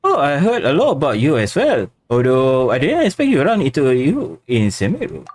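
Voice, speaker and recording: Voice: mid-range voice, wildly swooping pitch, moderate pace, darkish tone. Streamer with a widely swinging voice. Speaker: game streamer. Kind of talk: casual livestream, reading game lines aloud and reacting. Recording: game music behind the voice.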